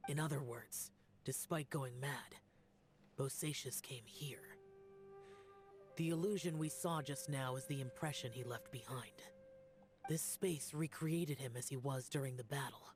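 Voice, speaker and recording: A stoic, ancient demon warrior speaking gravely and with measured calm, as an actor plays him. His voice sits in a mid register, and soft game music plays faintly behind. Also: medium pitch, natural voice. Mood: grim.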